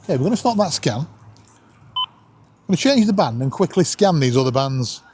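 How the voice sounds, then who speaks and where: A man's voice: on the high side, big pitch swings, fairly quick, background noise. A man, chatting casually to camera as a vlog host in a jokey, self-deprecating way.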